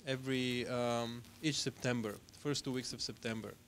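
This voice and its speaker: A man in his thirties from Bulgaria, with a Bulgarian accent, giving casual, halting interview answers into a handheld mic. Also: mid-range voice, fairly even intonation, fairly bright tone, slight background noise. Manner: hesitant, halting. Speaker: guest musician, jazz bassist. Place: TV studio stage.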